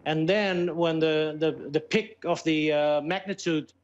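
A man with an Indonesian accent speaks in a fairly high voice over a dull Skype connection. He is being interviewed as a guest and answers haltingly, searching for his English words. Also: steady tone. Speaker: airline pilot, interview guest. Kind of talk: live news interview. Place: plain indoor room. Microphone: video call.

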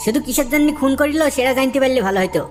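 A woman's voice: sits high, rapid-fire, slight background noise, close to an everyday voice. A woman playing a matter-of-fact townsperson in a cartoon, chatting colloquially. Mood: musing.

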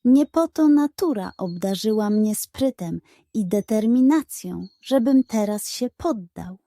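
A woman's voice: mid-range voice, animated, a little slow, mellow, dry recording, studio quality. A woman voicing a scheming, hungry male pug in a children's storybook, with a pleading, theatrical, self-important manner. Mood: longing, resolute.

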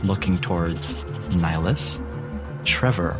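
Low-voiced man narrating over music. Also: takes it slowly. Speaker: game master. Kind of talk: narrating a tabletop roleplaying game. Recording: music bed under the voice. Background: background music.

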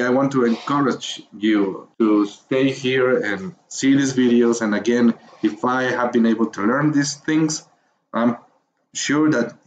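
A man with a Mexican Spanish accent narrates a beginner's screen tutorial in a mid-range voice, stopping often with fillers as he searches for English words. His tone stays encouraging and patient. The recording sounds a little muffled, with a faint hiss underneath. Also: mid-range voice. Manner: hesitant, many fillers and pauses while finding words. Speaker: course instructor.

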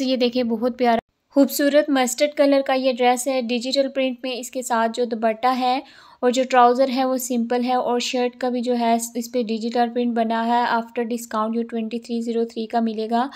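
A woman narrates a casual shopping vlog, her voice sitting a little high. She speaks in a steady, fairly level tone, repeating the same praise words as she lists prices. The sound comes from a phone camera she holds inside a shop. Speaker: shopping vlogger. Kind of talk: casual store walkthrough narration. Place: clothing store indoors.

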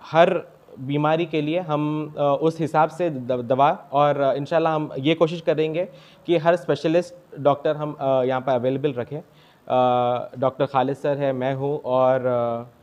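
Halting young man, higher-pitched voice. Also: steady tone, a little mellow. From India. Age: young adult. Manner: halting, with frequent broken starts and English medical terms. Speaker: doctor. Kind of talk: interview answers at a health camp. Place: small clinic room, indoors. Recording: dull lo-fi sound.

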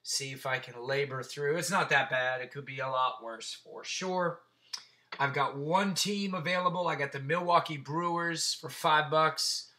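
A man with a raspy, sore throat hosting a casual card-breaking stream, chatting and swearing as he reels off player names. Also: on the high side, measured pace, pushed and bright. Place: tabletop card-breaking setup indoors.

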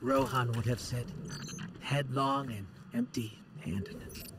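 An actor voicing a small, inquisitive robot companion in a video game, with a conversational, questioning manner. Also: male voice, mid-range voice, takes it slowly, background noise, natural voice. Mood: curious. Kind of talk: scripted video game dialogue. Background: game music.

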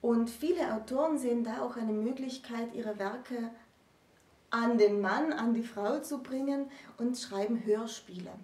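A woman presents an explanatory talk in a deliberate, conversational way. Her tone is mellow and relaxed. The recording is roomy, with the microphone some distance away. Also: on the high side. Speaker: co-presenter explaining literary history. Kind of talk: conversational lecture. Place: small indoor room with bookshelves.

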